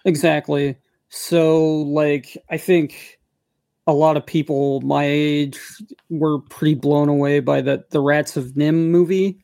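A man in his forties from the United States West Coast, co-hosting a podcast and telling stories in relaxed casual chat. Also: fairly high pitch, slow, creaky, dry recording. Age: 40s. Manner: relaxed storytelling, agreeable. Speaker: podcast co-host.

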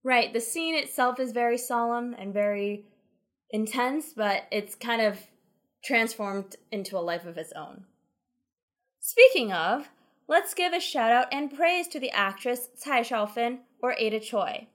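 A woman's voice: a little high, animated, unhurried, a bit bright. A woman co-hosting a podcast, chatting enthusiastically about a TV drama. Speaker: podcast co-host.

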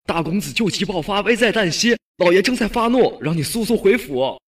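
An actor playing an anxious, flustered, apologetic young manservant with a husky voice in a Chinese period audio drama. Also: male voice, high-pitched, expressive, brisk. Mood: urgent.

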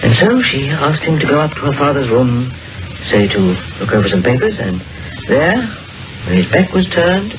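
An actor playing an imaginative, dryly amused small-town doctor who muses like a storyteller in an old-time radio drama. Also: male voice, medium pitch, lively intonation, lightly acted. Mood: speculative, storytelling. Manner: musing, storytelling, deliberate phrasing. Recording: muffled vintage radio recording with music.

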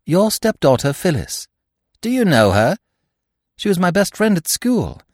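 A man's voice: high voice, very animated, unhurried, darkish tone, no room sound, clean studio sound, voice pushed up, heavily acted. A man reading aloud, voicing a spirited young woman who speaks firmly and earnestly. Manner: earnest, pressing questions.

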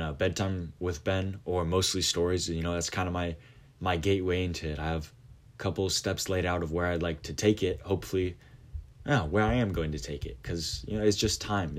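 A man of nineteen, host of his own podcast, talking casually about it with many fillers and restarts. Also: sits low. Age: late teens. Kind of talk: casual monologue.

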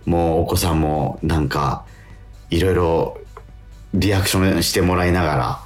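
A man's voice: low-pitched, steady tone, normal speed, reverberant, faint music behind. A man co-hosting a radio talk, telling stories in a polite, chatty way with repeated words and hesitations. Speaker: radio show co-host and stage actor.

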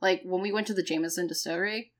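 A woman from New England chats as a podcast co-host. Her accent is there and her voice sits a little low. She tells travel stories at length and fills them with "like" and "um.". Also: quick pace. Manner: chatty, animated storytelling with many fillers. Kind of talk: casual chat.

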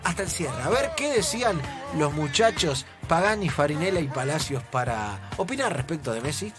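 A man's voice: on the high side, very animated, moderate pace, loud music behind the voice. A man with an Argentine accent hosting a radio show in playful studio chat. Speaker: radio host.

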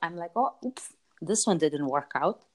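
Low-voiced Lithuanian woman chatting informally. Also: expressive, a little slow, a little mellow. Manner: informal, repeats words for emphasis, seeks agreement with tags. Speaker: interview guest, entrepreneur. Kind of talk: casual conversational interview answers.

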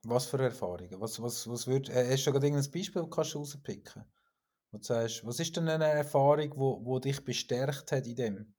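A man with a Swiss German accent, co-hosting a podcast and telling stories in a lively way, with frequent stumbles. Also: mid-range voice, brisk. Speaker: podcast co-host. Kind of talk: casual chat.

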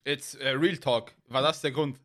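Young Austrian man with mid-pitched voice. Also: fairly even intonation, normal speed, a bit bright. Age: young adult. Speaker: podcast co-host. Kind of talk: casual chat.

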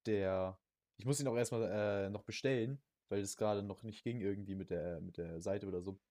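A young man co-hosting a podcast in casual chat, using youth slang and often halting to search for words. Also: on the low side, fairly even intonation, warm tone, dry recording, clean studio sound. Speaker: podcast co-host.

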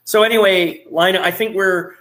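Man, host, slightly high voice, upbeat, hesitant, many restarts, video call. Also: steady tone, normal speed, pushed and bright. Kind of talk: casual chat.

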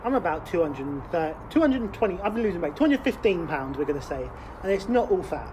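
A man's voice: high-pitched, very animated, quick pace, noisy background. A man, co-hosting a casual podcast chat. He rambles, swears a lot and laughs often. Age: late 20s. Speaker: podcast co-host.

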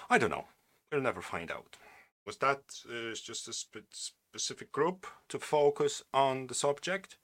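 A man's voice: fairly low pitch, sharp tone. A man hosting his own podcast, reading a news article aloud and adding wry, opinionated asides with frequent restarts. English is not his first language. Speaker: podcast host.

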